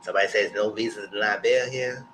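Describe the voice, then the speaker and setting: A man's voice: on the low side, steady tone, fast. A man hosting a livestream with casual, informal commentary, speaking directly to his viewers. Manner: informal, addresses viewers as y'all. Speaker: livestream host. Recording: loud background noise and hum.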